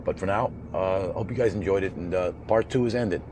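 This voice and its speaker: Hoarse man vlogging from the road. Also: low voice, fairly quick. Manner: rambling, talks to viewers while driving, mutters at traffic. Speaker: vlogger driving home from a race. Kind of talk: casual driving vlog chat. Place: inside a moving vehicle on the road. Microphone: camera mounted in the vehicle. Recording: loud road noise behind.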